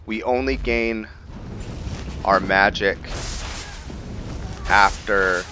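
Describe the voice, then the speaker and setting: Male let's-play host: low, forward bright tone, unhurried halting commentary, faint background noise. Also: fairly even intonation. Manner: halting, thinking aloud. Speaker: gameplay video host. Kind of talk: casual roleplay game commentary.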